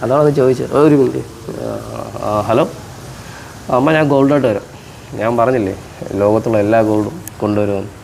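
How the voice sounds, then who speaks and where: A man's voice: mid-range voice, little pitch movement, brisk, vocal fry, a little mellow, slight background noise, poor audio. A man chatting casually and playfully as a prank host, teasing and repeating his questions insistently.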